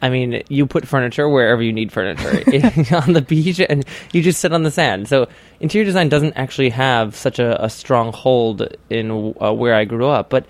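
Young man, American accent, casual interview guest, halting storyteller, mid pitch, dry sound. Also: no room sound. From Hawaii, United States.

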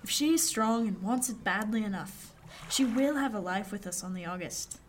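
A woman's voice: medium pitch, animated, moderate pace, close to an everyday voice. A woman playing a plainspoken, encouraging seasoned sailor in a period radio drama, folksy and reassuring. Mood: calm, firm. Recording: background noise, ship ambience.

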